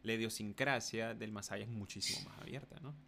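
A man's voice: on the low side, fairly quick, vocal fry, sharp tone. A man with a Nicaraguan accent hosting a podcast, asking questions and chatting casually, with noise around his voice. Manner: frequent backchannel affirmations. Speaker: podcast host. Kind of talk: casual interview chat.

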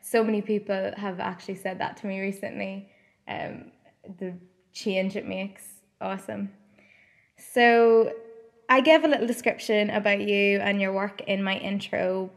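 A woman's voice: mid-range voice, fairly even intonation, normal speed, creaky. A woman, hosting a podcast and interviewing her guest with eager, attentive questions. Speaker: podcast host.

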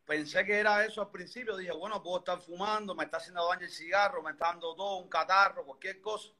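Cuban man, 30s, high bright voice, earnest and rambling guest. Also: fairly even intonation, fast talker. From Cuba. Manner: earnest, rambling, many restarts. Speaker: interview guest. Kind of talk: personal story in conversation.

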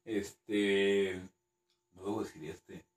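A man's voice: low-pitched, steady tone, extremely slow, echoey room. A man with a Mexican accent hosting a casual interview, joking and a little nervous. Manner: joking, nervous, laughs often. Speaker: host.

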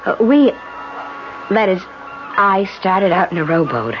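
A woman's voice: mid-range voice, animated, slow pace. An actress playing an earnest, troubled wife and mother in a dramatic 1970s radio play. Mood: sheepish. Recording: muffled, noisy old radio recording.

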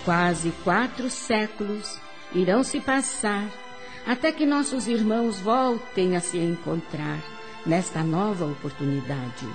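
A woman's voice: medium pitch, animated, a little slow. Solemn woman narrating over prominent music. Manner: solemn, declamatory. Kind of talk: solemn scripted radio drama narration.

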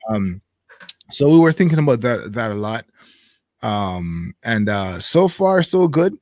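A man's voice: lowish voice, very animated, unhurried, a little mellow, no room sound. Thoughtful man with a dry close sound. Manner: thoughtful, hesitant, many fillers. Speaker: interview guest. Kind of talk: casual interview conversation.

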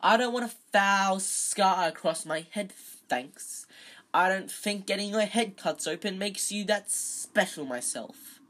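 A woman's voice: medium pitch, voice pushed up. A woman voicing a spiteful schoolboy bully, mocking and scathing, in a storybook reading. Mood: sneering. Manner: loud, drawn-out, mocking.

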